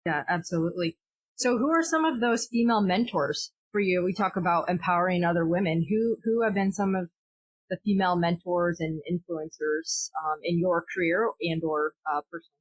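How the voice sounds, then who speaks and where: A woman's voice: fairly low pitch, a little fast, husky, no echo, rough recording. A woman hosting a podcast who asks questions eagerly and affirms her guest. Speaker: podcast host. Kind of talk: podcast interview.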